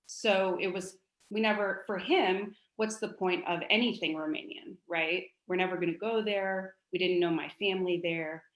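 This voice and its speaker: A woman's voice: on the low side, steady tone, normal speed. A woman around forty with an American accent, an author talking casually and expressively about her own life. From North Carolina, United States. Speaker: author and poet, guest. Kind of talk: casual personal storytelling at a book launch. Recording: roomy, echoey livestream audio.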